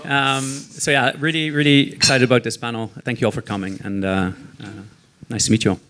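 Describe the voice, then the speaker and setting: A man opening a live panel event with welcome remarks, hesitant and filling his pauses with ums. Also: mid-range voice, little pitch movement, a bit bright. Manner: hesitant, full of ums and filler. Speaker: sponsor representative opening the event. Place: event room with an audience.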